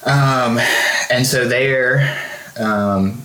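A man from Russia recalls his childhood in an unhurried, meandering way, full of ums and false starts. His mid-range voice sits in a noticeably echoey room. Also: medium pitch, bright, forceful tone. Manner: rambling, many fillers and restarts. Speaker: podcast guest. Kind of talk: casual storytelling chat. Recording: roomy echo.

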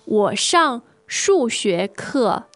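A woman co-hosting a podcast language lesson, modelling phrases with slow, careful pronunciation. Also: on the high side, sing-song, fairly bright tone, faint music behind. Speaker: native-speaker co-host teacher.

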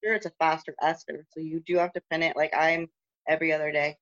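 A woman's voice: little pitch movement, quick pace, background noise. Chatty woman guest on a muffled phone line. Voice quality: lost her upper register, no longer high. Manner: chatty, loose, many fillers and restarts. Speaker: guest, competitive lifter. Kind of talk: casual interview over a phone call. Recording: muffled phone-call audio.

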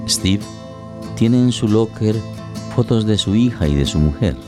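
Low-voiced man narrating over guitar. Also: animated, unhurried. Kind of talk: dramatic scripted narration. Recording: plucked guitar music under voice.

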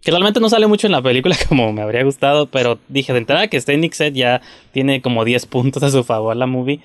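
A man's voice: mid-range voice, animated, fast talker, no echo, clean studio sound. A man interviewing a guest in a chatty, rambling, slangy way. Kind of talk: casual interview talk.

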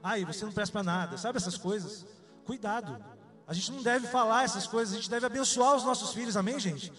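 A man with a high voice preaching in an easygoing, conversational way, often turning to his listeners with a question. He was born in Salvador, Bahia. Faint music plays behind him. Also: a little fast, a bit bright. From Salvador, Bahia, Brazil. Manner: conversational, asks the audience questions. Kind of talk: sermon.

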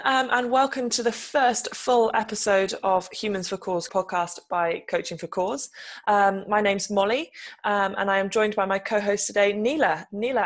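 Chatty woman podcast host. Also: mid-range voice. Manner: friendly, chatty, many ums. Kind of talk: casual podcast hosting.

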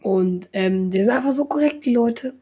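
A woman's voice: a little high, moderate pace, warm tone, slight background noise. A young woman in her teens hosting a casual podcast, rambling along with lots of filler words. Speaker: podcast host.

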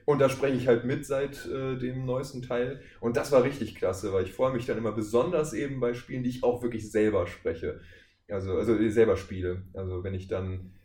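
A man with a mid-pitched voice talks casually as an interview guest about his work. His delivery is rambling and anecdotal, like easy podcast conversation. The room adds noticeable echo. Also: steady tone, a little fast, a little mellow. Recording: roomy echo.

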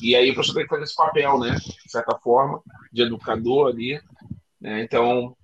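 A man's voice: medium pitch, fairly even intonation, fairly quick, dull audio, a little hiss or hum. A man who is a teacher and father, talking reflectively on a podcast with frequent tag questions. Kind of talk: casual podcast chat.

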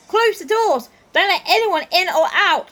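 A woman playing a store security guard in an audio drama, who speaks briskly and with authority. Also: extremely high pitch, lively intonation, fairly bright tone, full character voice. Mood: alarmed. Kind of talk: amateur audio drama acting.

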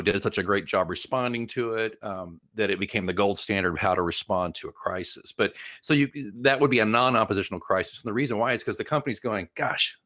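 A man with a fairly low voice talks as an interviewed consultant in a dry recording. He explains things informally and emphatically, wandering through examples and correcting his own wording as he goes. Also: a bit bright, dry recording. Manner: emphatic, rambling, self-correcting. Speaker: guest PR crisis consultant.

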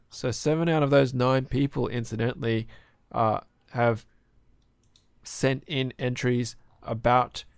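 A hoarse man co-hosting a podcast, chatting casually and rambling with fillers. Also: medium pitch, darkish tone, dry recording, clean studio sound. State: hoarse, sore throat. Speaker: podcast co-host.

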